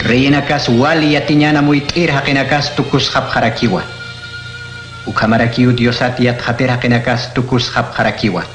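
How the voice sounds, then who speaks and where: Hombre de voz media, lectura pausada. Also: male voice, medium pitch, fairly even intonation, echoey room, over music.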